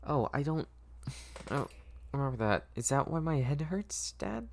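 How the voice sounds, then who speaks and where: A man's voice: on the high side, lively intonation, moderate pace, a little hiss or hum, pitched above the performer's own voice. A man voicing a small, frightened, naive little girl who speaks hesitantly and stammers, in a story read-through. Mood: dazed, scared.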